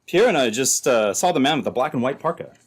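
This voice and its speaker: A man playing a scheming, double-crossing uncle in an old-time radio adventure, talking in a halting, insinuating way. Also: medium pitch, expressive, husky. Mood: feigned concern.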